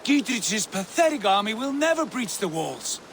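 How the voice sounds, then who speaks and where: A hot-tempered Viking lord, arrogant and scolding. His voice is pitched high and swings widely, in a game cutscene with faint ambient noise. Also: big pitch swings, normal speed, creaky, fairly bright tone, exaggerated voice. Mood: imperious, angry. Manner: imperious, scolding. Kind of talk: video game cutscene acting.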